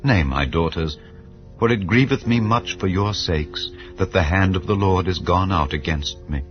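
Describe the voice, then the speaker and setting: A man's voice: deep voice, close to an everyday voice. A man reading as grieving old widow Naomi. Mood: lamenting. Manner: slow, solemn biblical speech. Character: grieving aged widow Naomi, bitter and tender. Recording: slightly dull, soft music behind.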